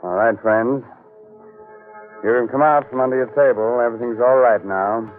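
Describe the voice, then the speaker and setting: A man's voice: medium pitch, moderate pace. A man hosting a 1940s radio mystery show in a sly, mock-spooky manner. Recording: muffled old radio recording, faint music.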